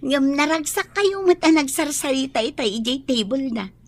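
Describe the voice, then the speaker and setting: A woman's voice: sits high, a little slow. A woman with a Filipino accent playing a teasing, giggly young friend in a radio drama. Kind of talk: Ilocano radio drama acting.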